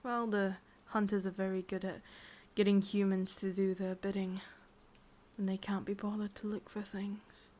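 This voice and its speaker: A woman with an English accent playing an ancient, lovelorn witch in an ASMR roleplay, speaking intimately in soft, breathy whispers with musing pauses. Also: mid-range voice, flat delivery, lightly acted. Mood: musing, guarded.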